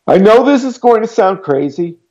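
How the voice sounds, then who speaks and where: A desperate teenage girl begs through a door, her voice high and trembling, as a man puts her on. The sound is a little dull. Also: sing-song, normal speed, darkish tone. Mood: pleading. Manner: pleading, shaky, high. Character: frightened pregnant teenage girl in a storm.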